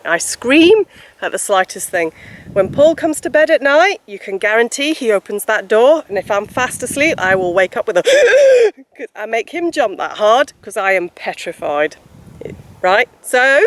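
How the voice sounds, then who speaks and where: A woman's voice: fairly high pitch, sing-song, a little hiss or hum. A chatty woman from Scotland with a mixed British accent, not a Scottish one, who laughs easily in casual vlog talk. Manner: chatty and giggly, quick to laugh. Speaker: vlogger. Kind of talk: casual chat.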